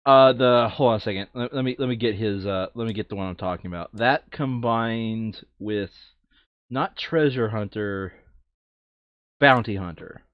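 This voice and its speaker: Low-voiced man chatting, slightly muffled. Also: normal speed, clear, resonant tone, no room sound. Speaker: board game player on a playthrough video. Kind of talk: casual chat while playing a board game.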